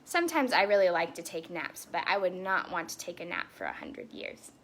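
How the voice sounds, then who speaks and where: A woman reading a story aloud to children as a storytime host, expressive and breaking into chatty asides. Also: lowish voice, fairly quick, a little hiss or hum. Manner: animated, with chatty asides to young listeners.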